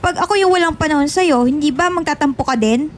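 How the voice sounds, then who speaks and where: A woman's voice: sits high, quick pace, slight background noise, lightly acted. A woman acting a lovesick young college girl in a radio drama, clingy, whiny and insistent. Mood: hurt, insistent. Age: young adult. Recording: music bed under the voice.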